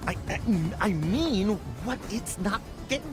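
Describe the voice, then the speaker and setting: An actor voicing an excitable, evasive talking monkey in a comic video game scene, with music underneath. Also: male voice, sits high, slow. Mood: flustered. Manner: evasive, sheepish, jumpy.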